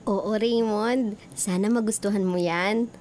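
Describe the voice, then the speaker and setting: An actress playing a smitten, hopeful young woman in a Filipino radio drama, girlish and gushing with drawn-out words. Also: mid-range voice, fairly even intonation, darkish tone, slight background noise, lightly acted. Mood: thrilled. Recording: music bed behind voice.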